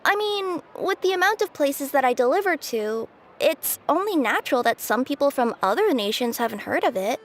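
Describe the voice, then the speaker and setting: A woman's voice: high-pitched, sing-song, normal speed, few pauses. A woman voicing a cheerful, playful young female courier spirit with a chirpy, giggly manner. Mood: sheepish. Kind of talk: video game voice acting. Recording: game audio with music.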